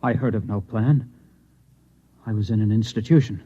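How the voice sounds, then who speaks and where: An actor playing an unstable, bewildered Martian trapped in a human body, earnest and pleading, in an old-time radio drama. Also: male voice, lowish voice, moderate pace, warm tone, breathy edge. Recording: dull lo-fi old radio recording.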